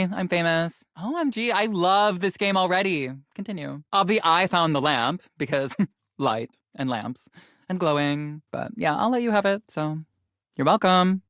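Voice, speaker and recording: A woman's voice: lowish voice, no room sound, clean studio sound. A woman voicing a vain, trendy young influencer in a video game, self-absorbed and flippant, with breezy valley-girl slang. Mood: smug. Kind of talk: video game character acting.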